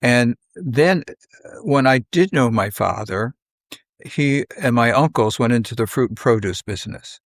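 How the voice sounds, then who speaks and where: An older man reminisces conversationally, in halting, unhurried phrases, often acting out bits of dialogue. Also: medium pitch, no echo, clean studio sound. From South Philadelphia, United States. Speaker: podcast guest.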